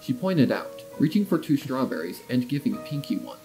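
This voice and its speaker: A man's voice: medium pitch, sing-song, moderate pace, over loud music, rough recording. A man reading scripted story narration as the narrator of a tale.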